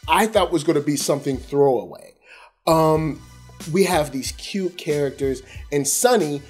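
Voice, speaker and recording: Higher-pitched American man hosting over music. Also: a little mellow, slightly rough. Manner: conversational, slangy, addressing viewers directly. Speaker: host of an animation review show. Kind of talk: casual review commentary to camera.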